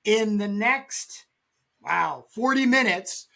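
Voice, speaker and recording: Bright-voiced man chatting on a podcast. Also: high-pitched, lively intonation, slow pace, creaky, a little hiss or hum. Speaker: podcast host and comic artist. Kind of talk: casual podcast chat.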